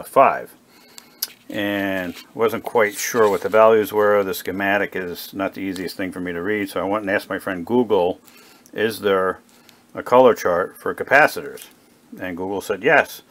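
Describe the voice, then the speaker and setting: A man giving a casual, unscripted narration at a workbench, plain-spoken and halting as he thinks aloud like a hobbyist repair vlogger. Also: sits low. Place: indoor home workbench. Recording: clear background noise, workshop ambience.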